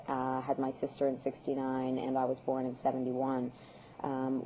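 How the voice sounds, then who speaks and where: A woman in her thirties from the United States, answering interview questions in an earnest, measured way with frequent ums and uhs. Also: extremely low pitch, mellow, slight background noise, poor audio. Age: 30s. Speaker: guest, peace activist.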